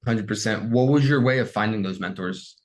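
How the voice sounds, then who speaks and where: A man hosting a career podcast, upbeat and energetic, chatting casually with plenty of fillers. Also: fairly low pitch, a little fast. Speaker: podcast host.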